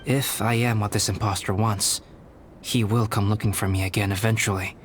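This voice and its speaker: A man playing a calm, analytical young alchemist knight in a video game, speaking formally and precisely. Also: lowish voice, slight background noise, close to an everyday voice. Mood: composed, resolute. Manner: measured, formal, precise, detached.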